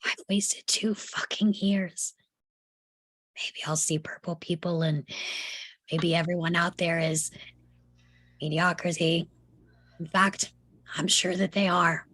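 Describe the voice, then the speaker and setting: Casting: actress as young female patient, low-pitched, defiant and hurt, dramatic table read. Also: fairly even intonation, slow, long pauses, a bit bright. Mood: anguished, defiant. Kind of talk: live table read of a film script.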